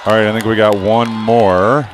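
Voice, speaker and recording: A middle-aged man hosting a courtside broadcast sign-off, joking and rambling as he talks loudly. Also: fairly low pitch, little pitch movement, normal speed. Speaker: broadcast host. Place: loud gym with a crowd. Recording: noisy crowded gym. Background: crowd cheering, balls bouncing.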